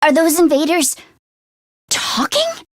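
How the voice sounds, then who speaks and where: A woman voicing an anxious, earnest, tech-minded teenage girl fighter in a video game, with a hesitant, expressive delivery. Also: very high-pitched, takes it slowly, dry recording, studio quality, heavily acted. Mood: startled, puzzled. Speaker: voice actress.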